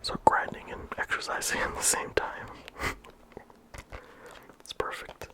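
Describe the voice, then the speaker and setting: A game reviewer talking casually in a soft ASMR whisper, with frequent "like" and "um" fillers. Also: a little slow. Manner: soft unvoiced whisper throughout.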